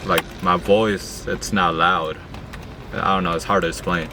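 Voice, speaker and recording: A young man with a deep voice in a casual vlog, talking plainly while working on a truck engine outdoors. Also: steady tone, measured pace, noisy background. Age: young adult. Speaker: car vlogger. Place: in a pickup cab and outdoors at an engine bay.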